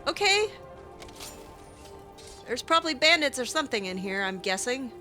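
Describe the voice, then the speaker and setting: A woman's voice: sits high, big pitch swings, moderate pace, bright, forceful tone. A woman playing a video game in character, chatting along with plenty of fillers and restarts. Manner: chatty, hesitant, lots of fillers. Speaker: let's play gamer. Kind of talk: in-character game roleplay commentary. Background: faint game music.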